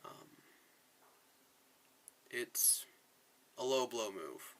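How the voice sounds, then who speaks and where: A man's voice: mid-range voice, expressive, extremely slow, fairly bright tone. A man giving casual, opinionated commentary as he plays a video game, often sounding exasperated. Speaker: gaming video creator, player. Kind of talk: casual game commentary with dialogue read aloud.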